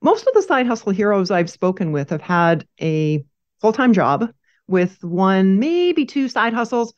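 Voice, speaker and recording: A woman's voice: mid-range voice, very animated, measured pace, muffled recording. A woman hosting a podcast, reading an upbeat scripted introduction and then asking interview questions. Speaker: podcast host.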